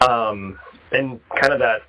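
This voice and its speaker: A man's voice: mid-range voice, takes it slowly, sharp tone. A man hosting a casual phone chat with a friend, speaking in an informal, conversational way. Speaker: host, interviewer. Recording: dull, band-limited phone call.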